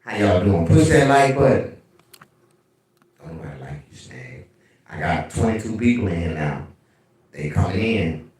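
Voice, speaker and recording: A man with a deep bass voice hosting a casual livestream, telling animated, profane stories. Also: normal speed, long pauses, recorded from a distance. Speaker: livestream host. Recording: very echoey room, away from mic.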